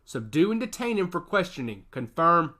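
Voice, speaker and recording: A man voicing a blunt male soldier who leads a squad, barking brisk orders in a storybook reading. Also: fairly high pitch, very animated, pushed and bright, voice pushed up, exaggerated voice. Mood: commanding. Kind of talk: audiobook character voice.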